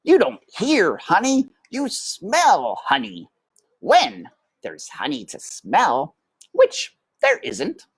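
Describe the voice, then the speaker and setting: A woman's voice: medium pitch, huge pitch swings, slow, slightly rough, dry recording, voice pushed up, exaggerated voice. A woman voicing a cocky older brother mouse in a storybook, scoffing and emphatic.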